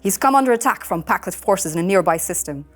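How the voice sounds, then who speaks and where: A woman playing a brusque, commanding female starship captain in a scripted animated sci-fi read, authoritative and terse. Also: medium pitch, fast talker, natural voice. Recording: music under the voice.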